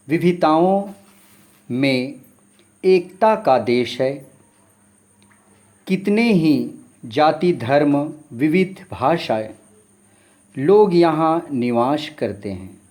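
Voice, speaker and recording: A man's voice: on the high side, animated, very slow, pauses often, darkish tone. A man, a folk singer and poet from Chhattisgarh, giving an earnest, formal and reverent spoken introduction before a song. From Chhattisgarh, India.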